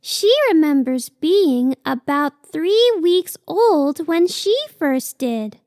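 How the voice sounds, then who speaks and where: A woman's voice: sits high, lively intonation, slow pace, darkish tone, no echo, studio quality, heavily acted. A woman voicing a cute, earnest young female sloth baby in a children's picture book read-aloud, with simple, childlike phrasing. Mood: hopeful, earnest.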